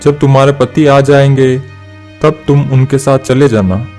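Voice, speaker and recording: A man's voice: medium pitch, fairly even intonation, measured pace, a little mellow, faint music behind, close to an everyday voice. A man voicing a kind-hearted village fruit and vegetable seller in a cartoon story. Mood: kind, reassuring.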